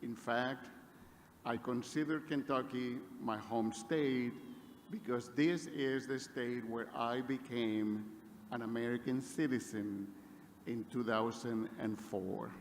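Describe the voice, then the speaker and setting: Non-native male speaker, podium address, deliberate and emphatic, long hall reverb. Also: medium pitch, steady tone. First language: not English. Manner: slow, emphatic, building repeated phrases. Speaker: honorary degree recipient. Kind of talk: commencement speech. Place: large hall. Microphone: podium mic. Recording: echoey hall, faint noise.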